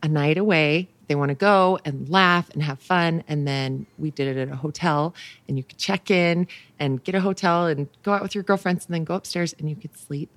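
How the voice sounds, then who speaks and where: A woman in her thirties, a podcast guest, telling stories in an animated way with lively quoted asides. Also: moderate pace, dry recording. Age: 30s. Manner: animated storyteller, jumps into a high voice for quoted thoughts. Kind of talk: casual podcast chat.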